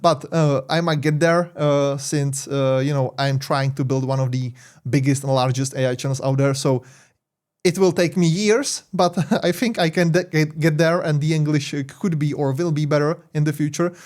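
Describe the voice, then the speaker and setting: A man's voice: fairly high pitch, fairly even intonation, normal speed, a little mellow. A man with a Czech accent, a tech YouTuber talking casually over his screen, rambling with many fillers and self-corrections. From Czech Republic.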